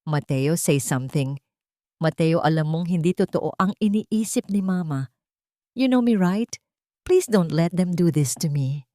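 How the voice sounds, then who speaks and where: A woman's voice: fairly low pitch, lively intonation, measured pace, dark tone, no room sound. A wronged young Filipino bride, played by a female narrator in a dramatic audio story. She pleads in desperation, her voice anguished, the sound close and dry. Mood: desperate pleading.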